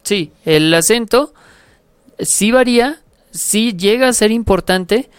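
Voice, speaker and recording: A co-host, talking in a measured, informative way in a casual chat. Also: medium pitch, clean tone, no room sound.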